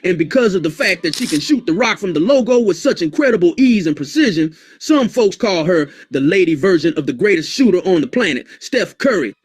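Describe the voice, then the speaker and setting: A man narrating a sports highlight video from an informal script, addressing the viewers directly. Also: big pitch swings, a little fast, few pauses, husky, faint music behind.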